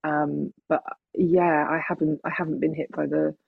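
Middle-aged woman, husky, creaky, thoughtful and hesitant, casual conversation, muffled lo-fi recording. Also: sits low, level tone, moderate pace, no room sound. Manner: hesitant, thoughtful, chuckles while talking.

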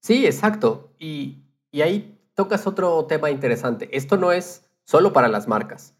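A man's voice: fairly high pitch, expressive, moderate pace. A man talking casually as a guest on a podcast about his field. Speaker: podcast guest, audio producer.

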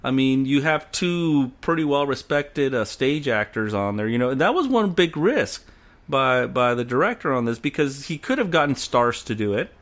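A man's voice: mid-range voice, animated, normal speed, fairly bright tone. Opinionated laughing man talking films. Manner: opinionated, laughs often, blunt. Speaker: podcast co-host. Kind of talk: casual film discussion.

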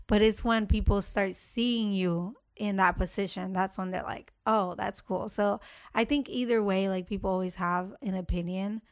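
A woman with a self-described deep voice talking frankly in a relaxed conversation. Her delivery is blunt and emphatic, and the sound is dry and close. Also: moderate pace, dry recording. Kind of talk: casual chat.

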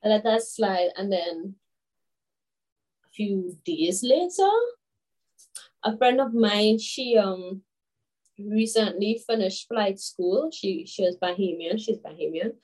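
A woman's voice: mid-range voice, slow pace, pauses often, rough recording. Chatty young woman from Grenada. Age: 20s. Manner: chatty, animated storytelling with many fillers. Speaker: guest, children's author. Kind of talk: casual interview chat.

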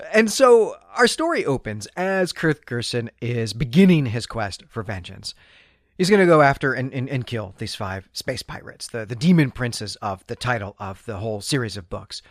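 Animated male podcast host, dry close sound. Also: mid-range voice, no room sound. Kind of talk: casual explanatory book recap.

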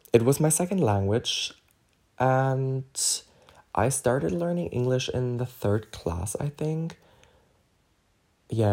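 German guy hosting his podcast solo, gravelly voice, talks slow, lots of "so yeah". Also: on the low side, dark tone. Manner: rambling, frequent fillers like "so, yeah" and "like". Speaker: podcast host. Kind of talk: casual solo podcast monologue.

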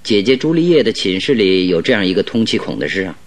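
A man playing a shrewd, calm detective in a Mandarin radio drama, speaking deliberately and with emphatic instruction. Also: lowish voice. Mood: grave, deliberating. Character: shrewd, commanding male detective, calm and exacting.

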